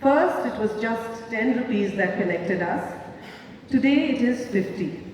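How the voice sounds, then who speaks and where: Woman doing a scornful furious woman, biting, mocking, high-pitched, echoey hall, distant mic. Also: lively intonation, a little hiss or hum. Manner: biting, mocking, rapid shouting. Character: scornful, furious woman berating her lover.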